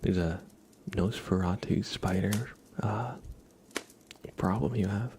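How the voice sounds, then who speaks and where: Slow, low, soft-spoken ASMR man. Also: vocal fry, breathy edge. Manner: soft-spoken, often slipping into whispers. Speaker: ASMR livestreamer. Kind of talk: ASMR, casual livestream chat.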